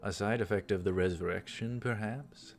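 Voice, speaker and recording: A man's voice: fairly low pitch, normal speed, gravelly, faint music behind. A man voicing a pompous, teasing young man in a visual novel read-through, with a grandiose manner.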